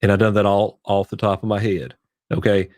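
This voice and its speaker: A man hosting a casual live church-tech Q&A from his home studio, sick with a cough, rambling as he clicks through his gear on a boom-arm condenser mic. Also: level tone, fairly quick, darkish tone, no room sound. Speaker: church tech livestream host. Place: home studio, heater running behind.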